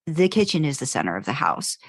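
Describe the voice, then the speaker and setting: Female podcast co-host, low register, dry sound, casual and discursive. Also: very animated, fast talker, no room sound. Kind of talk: casual chat about fan fiction.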